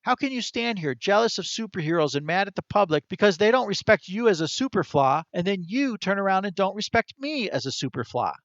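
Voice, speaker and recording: A man voicing a clever, touchy male talking squirrel who talks quickly and complains with indignation. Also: high-pitched, sing-song, a bit bright, no room sound, highs cut off, voice pushed up, full character voice. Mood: angry.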